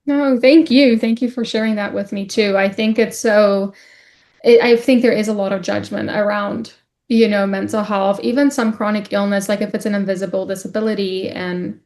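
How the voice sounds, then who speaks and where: A young woman, a podcast guest talking in an open, casual way and pausing often with ums and likes. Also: mid-range voice, steady tone, a little mellow. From South Africa. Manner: hesitant, many ums and likes. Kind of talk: casual conversation.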